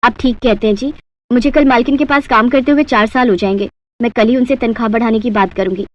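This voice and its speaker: A woman's voice: a little high, steady tone, quick pace, natural voice. A woman voicing a meek, obedient poor housemaid in a deferential, pleading manner for a cartoon story. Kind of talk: Hindi cartoon story acting.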